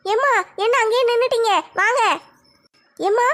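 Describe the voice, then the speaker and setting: A gentle teenage girl, voiced by a woman, pleads softly. Her voice is very high and slightly brighter than the performer's usual one. Her delivery is modest and coaxing. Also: normal speed, faint music behind. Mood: pleading. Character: teenage daughter, modest and loving.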